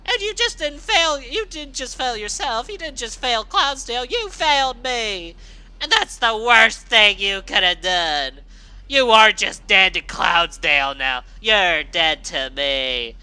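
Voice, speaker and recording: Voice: mid-range voice, very animated, moderate pace, pushed and bright, pitched above the performer's own voice, low-quality recording. A storyteller playing a cruel, furious grown pegasus mare who laughs maniacally and screams with rage. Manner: manic laughter and enraged screaming. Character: villainous adult female pegasus, cruel and furious.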